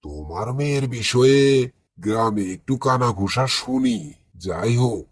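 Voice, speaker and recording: A man voicing a calm, kindly, wise village elder with a husky voice and measured, counselling manner in a cartoon. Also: on the low side, dry recording. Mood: calm concern. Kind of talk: cartoon dialogue acting.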